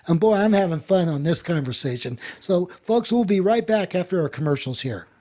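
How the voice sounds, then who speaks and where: Male sports talk host, high-pitched voice, chatty anecdotal delivery with repeated words. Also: animated, brisk, a little mellow. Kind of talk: casual chat and anecdote telling.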